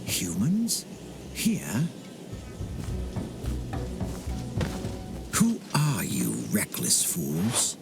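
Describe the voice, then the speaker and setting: An actor playing an ancient, menacing fae seer in a fantasy game, theatrical and portentous with whispery turns. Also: male voice, lowish voice, wildly swooping pitch, very slow, vocal fry, exaggerated voice. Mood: scornful, threatening. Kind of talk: fantasy RPG voice acting. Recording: game dialogue over loud music.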